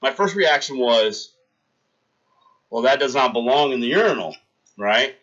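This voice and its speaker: A man's voice: medium pitch, animated, a little slow, highs cut off. A young man hosting a livestream, telling a rambling story in casual chat and talking to his viewers. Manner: rambling anecdote, talks to chat, shouts out followers. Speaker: livestream host.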